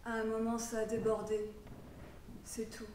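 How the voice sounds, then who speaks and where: A woman acting a theatrical monologue as a troubled young mother, wounded and defiant, swinging between muttered confiding and shouted outrage. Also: on the high side, completely flat delivery, moderate pace, distant mic, close to an everyday voice. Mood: wounded, brooding. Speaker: drama student performing a monologue. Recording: roomy echo with background noise.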